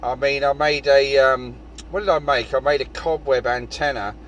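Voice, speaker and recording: Man, British accent, mid-pitched voice, rambling casual radio chat, amateur operator, steady vehicle noise. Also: normal speed. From United Kingdom. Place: in a parked vehicle outdoors. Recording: clear vehicle and outdoor background noise.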